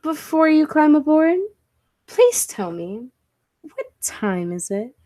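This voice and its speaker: A woman playing a confident, eccentric professor and inventor in a 1940s-style radio play, speaking in an assured, deliberate way. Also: high-pitched, sing-song, warm tone, heavily acted. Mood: brisk, commanding.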